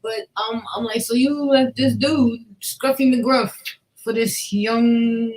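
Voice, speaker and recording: A woman's voice: fairly high pitch, fairly even intonation, slow pace, a little mellow, rough recording. A woman co-hosting a podcast, presenting celebrity gossip in a casual, slangy, sweary way. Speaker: podcast co-host.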